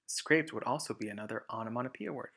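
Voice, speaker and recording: A man's voice: medium pitch, quick pace, vocal fry, sharp tone, slightly rough. A man reading a storybook aloud as a teacher, emphatic in narration and explanatory in asides.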